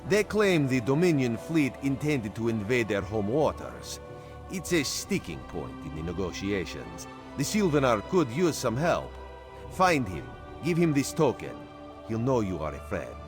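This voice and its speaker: A man's voice: mid-range voice, wildly swooping pitch, a little slow, over music. Sly cat-man with swooping speech. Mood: wry, measured. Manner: speaks of himself in third person. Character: sly, humble male Khajiit cat-man agent, wryly loyal. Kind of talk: video game character dialogue.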